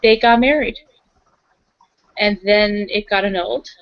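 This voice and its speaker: A woman's voice: mid-range voice, fairly even intonation, unhurried, lo-fi sound. A woman playing a tabletop roleplaying game, talking casually as she moves between character and narration.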